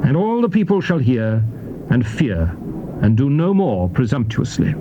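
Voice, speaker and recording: A man giving a solemn, measured and declamatory dramatized Bible reading as narrator, phrase by deliberate phrase. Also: mid-range voice, big pitch swings. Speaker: scripture narrator. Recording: dull, noisy old cassette tape.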